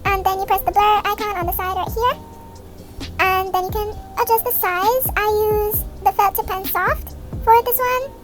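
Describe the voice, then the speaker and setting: A young woman with a very high, girlish pitch talks through a tutorial in a casual way. Music plays behind her voice-over. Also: steady tone, normal speed. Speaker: video creator and host. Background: background music.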